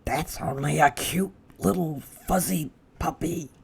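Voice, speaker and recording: A woman's voice: slow pace, vocal fry, darkish tone, breathy edge, exaggerated voice. A woman voicing a cocky, dismissive male burglar in a storybook, whispering and cooing to a dog. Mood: scoffing. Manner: scoffing, coaxing in a high coo.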